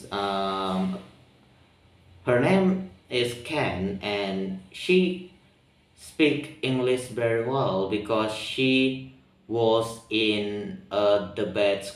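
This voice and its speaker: Slow, halting Indonesian-accented man. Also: fairly low pitch. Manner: halting, searching for words, many ums. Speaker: vlogger practicing spoken English. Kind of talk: reflective solo monologue. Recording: roomy echo, mic at a distance.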